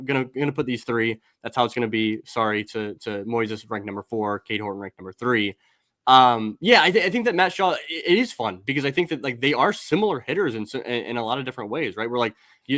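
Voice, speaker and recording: A man's voice: mid-range voice, a bit bright, no echo, studio quality. Man rambling through baseball prospect talk. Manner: rambling, many restarts and fillers. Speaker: podcast host. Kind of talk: casual baseball prospect discussion.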